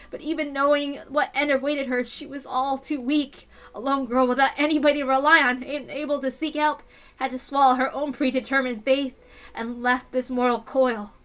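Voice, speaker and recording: A woman's voice: sits high, a bit bright. A woman reading a story aloud as a dramatic first-person narrator, in a mournful, intense manner. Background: music.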